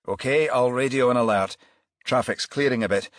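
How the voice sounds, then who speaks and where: A man voicing a steady, decisive senior male detective in an audiobook, terse and businesslike. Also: medium pitch, moderate pace, no room sound, studio quality, pitched above the performer's own voice, lightly acted. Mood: matter-of-fact. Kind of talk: audiobook character dialogue.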